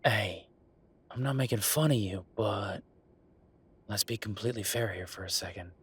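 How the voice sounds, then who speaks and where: A man's voice: lowish voice, normal speed, a bit bright, breathy edge. A man playing a wry, affectionate werewolf bureaucrat in an intimate roleplay audio drama, talking in a casual, teasing, conversational way.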